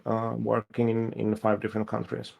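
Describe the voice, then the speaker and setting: A man's voice: lowish voice, moderate pace, vocal fry. A man with a Finnish accent, a game developer answering questions casually on a livestream, with many fillers. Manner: relaxed, hesitant, many fillers.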